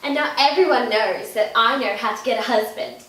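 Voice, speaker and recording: A woman's voice: fairly high pitch, moderate pace, a bit bright, lightly acted. A woman playing a vain, giddy, petulant young Regency wife in a theatrical monologue, with affected, genteel, dramatic delivery. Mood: smug. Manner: affected, dramatic, genteel old-fashioned phrasing. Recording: echoey room, distant mic, faint noise.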